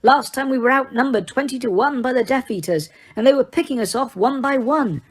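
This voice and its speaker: A woman voicing a calm grown man who speaks firmly and soothingly to a crying friend. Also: sits high, fairly quick, voice pushed up. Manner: firm and soothing.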